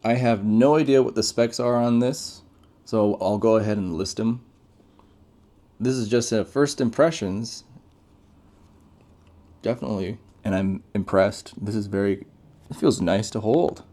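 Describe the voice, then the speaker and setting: Low, mellow-voiced man, casual reviewer. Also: unhurried, pauses often. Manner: laid-back, off-the-cuff reactions, informal slang. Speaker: tech reviewer. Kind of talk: casual unboxing commentary.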